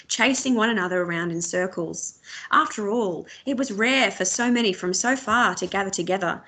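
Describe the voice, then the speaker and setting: Female storybook narrator, medium pitch, measured and expressive delivery, teacher reading to students.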